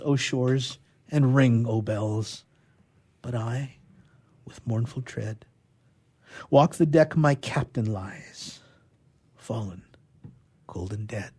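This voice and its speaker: A man with a husky voice, a radio theatre player reading and discussing poetry. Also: mid-range voice, darkish tone. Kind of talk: expressive poetry recitation and casual discussion.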